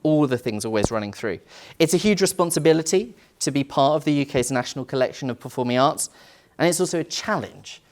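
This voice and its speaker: A man's voice: fairly high pitch, animated, fast talker. A man, a museum curator on a panel, speaking in an earnest, reflective way and posing rhetorical questions. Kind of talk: panel talk.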